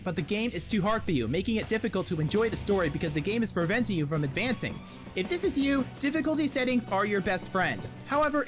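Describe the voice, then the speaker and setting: Woman narrating a video essay over music. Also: medium pitch. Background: background music.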